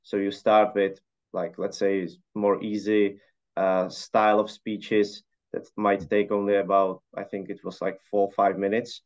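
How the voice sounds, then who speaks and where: Low-voiced man on a dull line. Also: measured pace. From Czech Republic. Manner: relaxed, hesitant with many fillers. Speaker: interview guest. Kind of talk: casual conversational answers.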